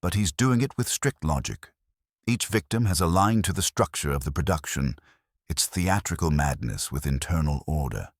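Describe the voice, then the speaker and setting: A man voicing a keen, brooding master detective in an audio story, explaining in a deliberate, theatrical manner. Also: very deep voice, animated, no room sound, clean studio sound, exaggerated voice. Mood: intent.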